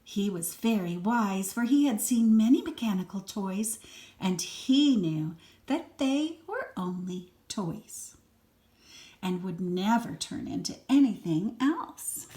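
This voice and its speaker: An older woman, a grandmother, reading a children's story aloud and adding gentle, chatty asides for a small child. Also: medium pitch, lively intonation, takes it slowly.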